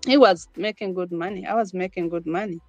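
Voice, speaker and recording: A woman's voice: lowish voice, fairly quick, dry recording. Ugandan-raised woman guest, conversational, dry recording with light music bed. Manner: laughs often, many restarts and fillers. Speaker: podcast guest. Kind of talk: casual interview conversation.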